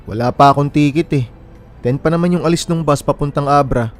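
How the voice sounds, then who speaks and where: A man's voice: fairly high pitch, fairly quick, natural voice. An actor as a casual young man, music underneath. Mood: worried, chatty. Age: young adult. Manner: casual, conversational. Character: friendly, worried young man waiting at a bus terminal. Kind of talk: naturalistic radio drama dialogue in Tagalog.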